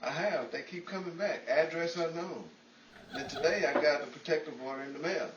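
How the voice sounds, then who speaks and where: An actor playing a mischievous, broke movie bit-player who wisecracks cockily, in the style of 1940s radio comedy. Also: male voice, fairly high pitch, fairly quick, close to an everyday voice. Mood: breezy, cheeky. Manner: wisecracking, cocky banter. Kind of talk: 1940s old-time radio comedy acting. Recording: echoey, noisy, muffled, distant radio-play recording.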